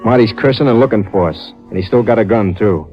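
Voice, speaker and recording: An actor playing a wisecracking, unflappable hard-boiled private eye, narrating and talking in dry slang in an old-time radio drama. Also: male voice, on the low side, fast, lightly acted. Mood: wry. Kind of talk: old-time radio noir acting and first-person narration. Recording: muffled vintage radio recording, reverberant, music behind.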